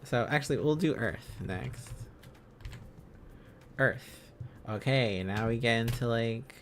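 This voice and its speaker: A man hosting an informal language-learning livestream, thinking aloud in a halting, casual, self-correcting way. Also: mid-range voice, a little hiss or hum. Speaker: livestream host learning Korean along with viewers.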